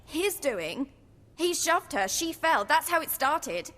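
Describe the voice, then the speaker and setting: Bitter young british woman, game character, highish voice, long echoey reverb. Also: sing-song, takes it slowly, fairly bright tone, exaggerated voice. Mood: shaken, distressed. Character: bitter, defiant young woman estranged from her father. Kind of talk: dramatic video game acting.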